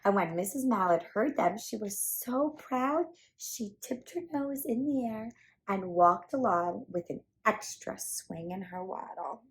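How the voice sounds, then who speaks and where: A woman hosting a children's storytime, reading a picture book aloud with animated, playful emphasis. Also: mid-range voice, a little slow, low-quality recording. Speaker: children's storytime reader and host.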